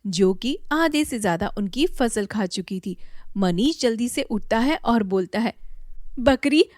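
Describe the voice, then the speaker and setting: A woman narrating a children's moral story in a plain, steady way. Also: medium pitch, a little slow, dry recording, studio quality.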